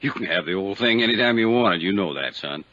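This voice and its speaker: An actor playing a folksy, protective small-town sheriff in a 1950s radio drama, with a familiar, homespun way of talking. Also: male voice, lowish voice, lively intonation, fast, bright, forceful tone, dry recording. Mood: wry, helpful. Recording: dull 1950s radio recording.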